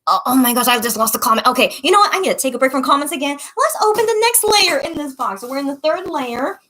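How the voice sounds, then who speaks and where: Chatty young woman, fairly high voice. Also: lively intonation, fast. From United States. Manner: rambling and chatty, many fillers and restarts, often trails off softly. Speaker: online shop owner reading viewer comments. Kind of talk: casual livestream-style chat.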